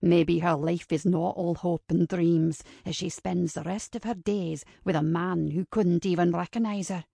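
A woman's voice: fairly even intonation, unhurried, vocal fry, few pauses, dark tone, dry recording, clean studio sound, heavily acted. A woman voicing a cynical, rakish man from a romance novel, murmuring with sardonic wit. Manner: low murmuring, sardonic delivery.

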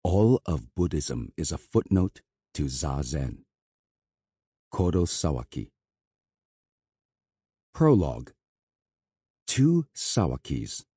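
A man reading an audiobook as narrator, in measured, even scripted narration, close to a studio mic. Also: a bass voice, breathy edge, no echo.

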